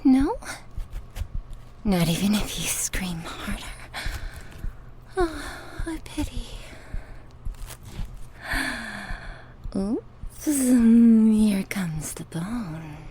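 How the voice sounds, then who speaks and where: A woman playing a sadistic, gleefully cruel goddess in an ASMR horror roleplay, taunting theatrically and slipping into breathy whispers. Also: medium pitch, lively intonation, drawn-out words, long pauses, mellow, a little hiss or hum. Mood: mocking delight.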